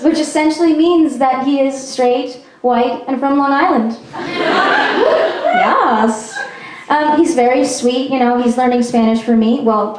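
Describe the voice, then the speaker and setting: A woman's voice: on the high side, distant mic, a little hiss or hum. Self-mocking young woman doing stand-up. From Peru. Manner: chatty and self-mocking, with frequent "um" and laughs. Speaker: stand-up comedian. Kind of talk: stand-up comedy set. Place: small performance venue. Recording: echoey venue recorded from afar.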